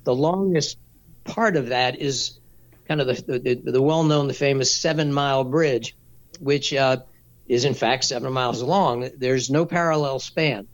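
Man, mid pitch, measured and explanatory guest in a casual interview. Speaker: race director.

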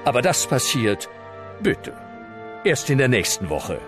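A man's voice: medium pitch, sing-song, a little slow. A man playing a wry, grandly solemn storyteller narrating a comic radio series. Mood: mock-solemn. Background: music.

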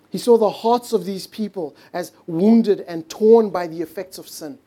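Earnest preaching man with mellow tone. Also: high voice. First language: not English. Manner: earnest, stretches key words slowly, drops to a hush for emphasis. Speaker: pastor. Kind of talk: sermon.

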